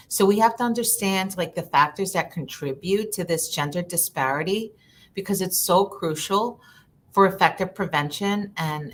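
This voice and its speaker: A woman from Ecuador speaks earnestly about her work, restarting phrases as she gathers her thoughts. Her voice sits a little low. A faint rumble runs under the recording. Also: a bit bright. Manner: earnest, repeats words while thinking, slips into Spanish for quotes. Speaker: guest social worker. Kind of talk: live online conversation.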